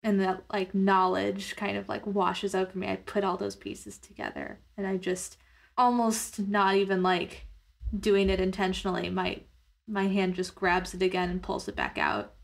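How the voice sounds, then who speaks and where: A woman's voice: medium pitch, normal speed, vocal fry. A woman playing a tabletop roleplaying game, improvising her character's actions in the first person, casual and tentative as she thinks aloud.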